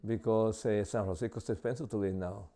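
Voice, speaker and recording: A man in his sixties from El Salvador, with a Salvadoran Spanish accent, answering interview questions in halting English full of pauses and fillers. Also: on the low side, fairly even intonation, warm tone. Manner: halting, many uh fillers and restarts.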